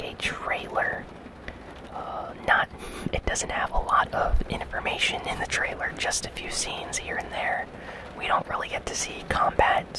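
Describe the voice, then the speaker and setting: A host whispering video game news softly and without hurry in an ASMR style, with rain sounds behind the voice. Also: rough recording. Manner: soft, unhurried whispering.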